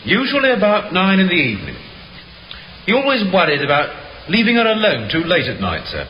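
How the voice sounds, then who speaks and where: An actor playing a loyal, respectful chess club steward, hesitant and earnest, in a 1950s radio drama with dull, noisy sound. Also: male voice, high-pitched, huge pitch swings, brisk. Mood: earnest, deferential.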